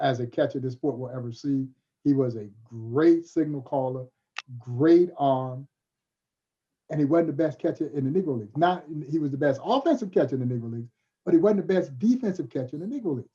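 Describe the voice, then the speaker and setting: High-pitched, mellow man telling stories. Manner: emphatic, oratorical, addresses young listeners directly. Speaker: panelist, baseball historian. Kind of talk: animated storytelling on a panel. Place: virtual panel over video call. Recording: dull, lo-fi video call.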